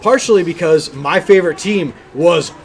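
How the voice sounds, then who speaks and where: High-voiced man chatting on a gaming podcast. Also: normal speed, soft background music. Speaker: podcast co-host. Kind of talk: casual gaming podcast chat.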